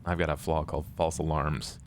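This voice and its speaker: A man talking casually as a player in a tabletop roleplay podcast, halting and repeating words. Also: a bass voice, little pitch movement, a little hiss or hum. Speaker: player in an actual-play podcast.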